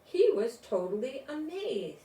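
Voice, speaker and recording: An older woman reading a children's storybook aloud in a deliberate, expressive way, with informal asides. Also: high-pitched. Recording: roomy, distant mic, faint noise.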